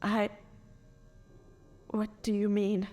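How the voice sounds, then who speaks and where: A woman playing a frightened but defiant noblewoman in an audio drama, with a halting, stammering, anguished delivery. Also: medium pitch, completely flat delivery, warm tone, soft background music. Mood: wary, disgusted. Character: distraught revived noblewoman, mother, frightened and defiant.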